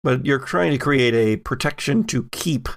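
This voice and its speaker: A man's voice: medium pitch, moderate pace. A man working as game master on a podcast, narrating and refereeing the game with theatrical storytelling phrasing.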